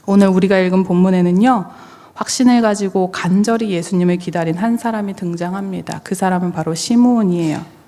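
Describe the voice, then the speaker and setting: A woman's voice: on the low side, brisk, mellow. A woman pastor preaching a sermon, explaining and addressing listeners directly with questions, her voice somewhat strained from recovering. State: voice strained, limited to low register while recovering. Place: church sanctuary. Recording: long reverberant hall sound.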